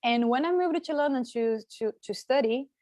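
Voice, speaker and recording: A woman's voice: high-pitched, normal speed, a little mellow, dry recording, clean studio sound. A young adult woman from Brazil, a native Portuguese speaker, talking hesitantly in an interview with many fillers and repeated words. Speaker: guest. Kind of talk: casual interview talk.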